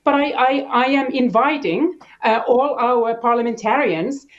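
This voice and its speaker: Formal woman panelist on dull audio. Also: a little high. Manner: deliberate, formal policy tone. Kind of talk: panel discussion.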